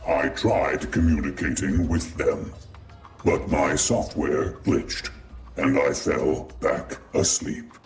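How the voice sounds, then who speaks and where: A man playing an ancient, calm robot master in a game cutscene, speaking in a measured, formal way. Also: very deep voice, heavily acted. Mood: calm, pondering. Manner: slow, measured, formal speech. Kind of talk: scripted game cutscene dialogue. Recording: echoey, music behind.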